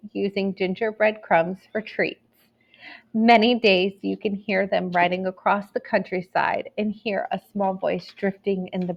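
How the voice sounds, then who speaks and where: A woman's voice: medium pitch, vocal fry, muffled recording. A woman narrating a children's story expressively, as if reading a picture book aloud.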